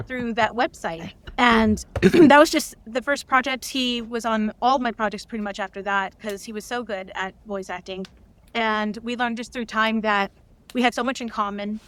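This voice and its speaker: A woman's voice: mid-range voice, a bit bright. A woman speaking as a guest in a casual interview, earnest and reflective. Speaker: interview guest, audio drama creator.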